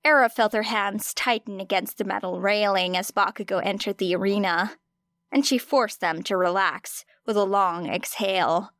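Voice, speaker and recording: A woman reading scripted fiction as an audiobook narrator, expressive, with deliberate emphasis on key phrases. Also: mid-range voice, no room sound, clean studio sound.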